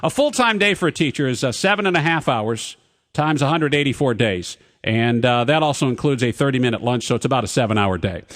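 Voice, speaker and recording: A man's voice: mid-range voice, expressive, fairly bright tone. Pushy male talk radio host. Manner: pushy, interrupts guests; reads contract terms off in a rapid list. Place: radio studio. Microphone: studio broadcast mic.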